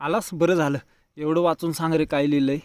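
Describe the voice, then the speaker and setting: A man's voice: on the high side, flat delivery, fairly quick, darkish tone, clear, resonant tone, no echo, clean studio sound, close to an everyday voice. A man with a Marathi accent acting a cheeky village fellow in a comedy sketch. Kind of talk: acted comedy sketch dialogue.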